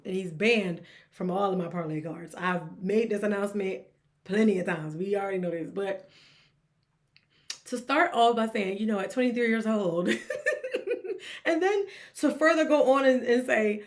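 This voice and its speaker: A young woman hosting a casual sports commentary vlog, talking in an animated, teasing way and laughing often. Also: medium pitch.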